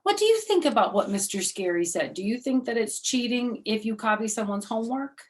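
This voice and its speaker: A woman's voice: fairly high pitch, lively intonation, brisk. A woman storyteller reading a children's book aloud, addressing young listeners directly in simple words.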